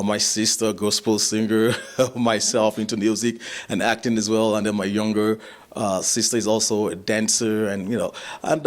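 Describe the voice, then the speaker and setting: Chuckling Nigerian-born male musician guest. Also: on the low side. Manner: relaxed, chuckling, many fillers and restarts. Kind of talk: casual interview chat.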